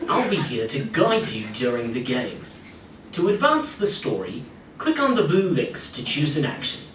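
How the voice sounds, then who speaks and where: A man playing the guiding narrator of an adventure game, reading scripted lines in an expressive, theatrical way. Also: mid-range voice, normal speed, background noise. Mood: guiding, storytelling. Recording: echoey hall playback, distant, faint music.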